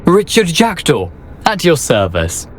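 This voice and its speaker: A man's voice: fairly high pitch, huge pitch swings, unhurried, slight background noise. An actor voicing a jaunty young male ghost in a video game, with a British accent and a theatrical, breezy, self-mocking manner.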